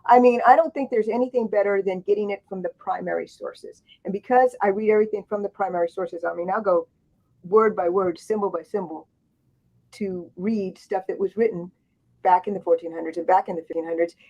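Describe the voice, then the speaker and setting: A woman's voice: medium pitch, steady tone, a little fast, dark tone. A woman author on a book panel, telling stories in a rambling way, with many restarts and asides. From grew up in Thailand and Japan. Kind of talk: casual panel storytelling. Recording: dull lo-fi sound.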